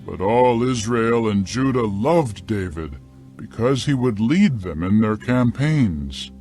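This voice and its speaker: A man reads scripted scripture narration in a measured storytelling manner. His voice sits a little low and is very expressive in pitch, with faint music behind him.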